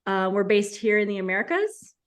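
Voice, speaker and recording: A woman's voice: mid-range voice, very animated, fairly quick. A woman from Costa Rica, a guest on a podcast, explaining things in an easygoing, casual way. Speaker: guest, travel agency co-founder.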